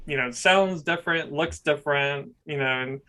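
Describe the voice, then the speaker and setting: A young man hosting a casual podcast interview. He talks in a monotone and rambles, with many fillers. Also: fairly high pitch, normal speed. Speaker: podcast host.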